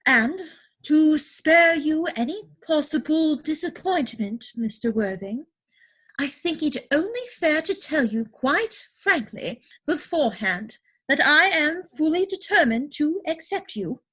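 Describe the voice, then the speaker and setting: High-pitched, gushing young society lady. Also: very animated, a bit bright, heavily acted, low-quality recording. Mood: haughty, prim. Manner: affected, gushing, grandly drawn-out delivery. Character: romantic, willful upper-class young English lady, theatrically adoring. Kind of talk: theatrical dramatic reading of period comedy.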